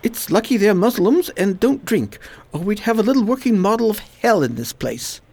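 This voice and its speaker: A woman's voice: medium pitch, big pitch swings, moderate pace, dark tone. A woman playing a weary, dutiful educated man in a radio play, terse and resigned. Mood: grave, stern.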